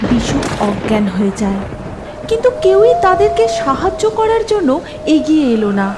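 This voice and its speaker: A woman's voice: sits high, big pitch swings, moderate pace, heavy background noise. A woman narrating a scripted horror cartoon story in a dramatic style. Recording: loud background music.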